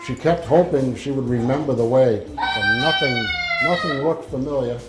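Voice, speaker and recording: A man reading a story aloud to children in a halting, informal manner. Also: mid-range voice, very noisy. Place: indoor library room with audience. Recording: echoey room, faint music.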